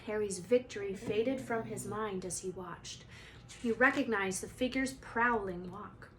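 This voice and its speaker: Lady reading a story out loud, expressive, normal pitch, bit of noise behind her. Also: rough recording. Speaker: read-aloud storyteller.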